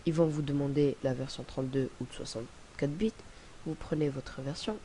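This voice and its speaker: A young man with a cold, talking through a casual screen-recorded software tutorial in a rambling, hesitant way. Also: mid-range voice, steady tone, moderate pace. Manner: rambling, hesitant, many fillers and restarts. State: has a cold. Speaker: tutorial presenter. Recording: faint hiss on home recording.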